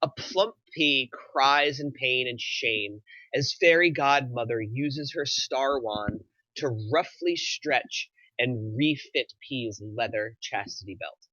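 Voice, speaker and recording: A man's voice: mid-range voice, slow, bright, forceful tone, no room sound, dull audio. A man narrating, reading screenplay action lines aloud at a table read.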